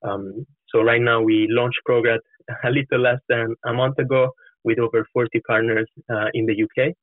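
A man's voice: mid-range voice, little pitch movement, normal speed. A man in his twenties from Italy with an Italian accent, answering interview questions as a startup founder in an earnest, explanatory way. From Florence, Italy. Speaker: startup co-founder, podcast guest. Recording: roomy, narrow muffled call-like sound.

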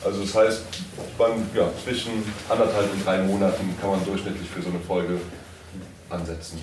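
Male producer on a panel, informal explainer, slightly deep voice, roomy sound with faint noise. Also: level tone, moderate pace. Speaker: audio drama producer on a convention panel. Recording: echoey room, distant mic, faint noise.

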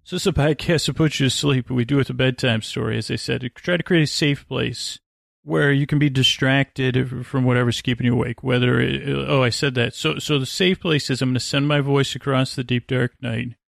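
A man with a creaky voice hosting a sleep podcast, rambling gently and lullingly, often breaking off and drifting into tangents. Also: medium pitch, fairly even intonation, no room sound, clean studio sound. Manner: meandering, digressive, halting with self-interruptions. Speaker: podcast host. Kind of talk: rambling, soothing bedtime monologue.

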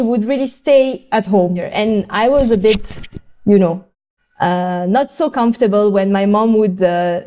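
A woman's voice: medium pitch, dark tone. A young adult woman from France, a podcast guest, telling her story in a hesitant, thoughtful way with many fillers and restarts. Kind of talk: conversational interview.

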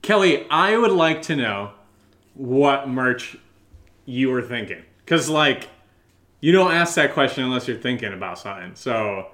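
A man's voice: mid-range voice, very animated, measured pace, a bit bright. An American man from Connecticut co-hosting a podcast, chatting casually and jokingly and laughing easily. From Connecticut, United States. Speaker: podcast co-host and audio drama maker. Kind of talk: casual unscripted Q&A chat.

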